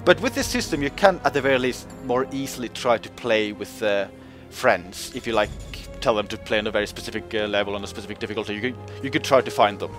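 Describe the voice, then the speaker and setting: A man's voice: fast talker, hardly any pauses, fairly bright tone. Casual guy talking over gameplay, lots of um and like, voice jumps up when he jokes, faint music behind. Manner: chatty, many fillers, pitch jumps up when joking. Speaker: gaming video host. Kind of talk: casual game commentary.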